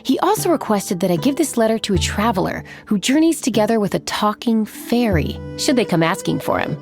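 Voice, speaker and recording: Helpful innkeeper, courteous, businesslike, varied intonation, video game, faint music. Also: female voice, medium pitch, big pitch swings, brisk, darkish tone. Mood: composed, helpful. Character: polite, composed female innkeeper.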